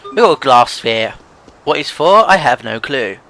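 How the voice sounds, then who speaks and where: A man's voice: mid-range voice, sing-song, moderate pace, vocal fry, pushed and bright, soft background music. A man hosting a let's play with casual game commentary.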